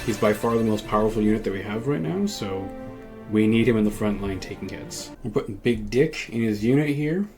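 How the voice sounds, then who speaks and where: Low-voiced man doing game commentary, music behind. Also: animated, a little fast, darkish tone. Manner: thinks aloud, explanatory. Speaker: gaming video host. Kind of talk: casual let's-play strategy commentary.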